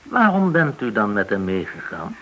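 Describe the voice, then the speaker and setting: An actor in a Dutch radio play playing a menacing gangster interrogator, controlled and commanding, full of veiled threats. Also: male voice, fairly low pitch, wildly swooping pitch, moderate pace, a bit bright, highs cut off, full character voice. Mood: probing.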